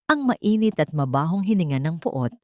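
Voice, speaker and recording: A woman's voice: low voice, sing-song, normal speed, no room sound, studio quality. A woman reading a dramatic scripted story as its narrator.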